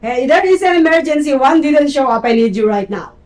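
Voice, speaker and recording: A woman's voice: sits high, lively intonation, a little mellow. Filipino-accented woman host, roomy echo. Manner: chatty, halting, repeats words while searching. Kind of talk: casual interview chat.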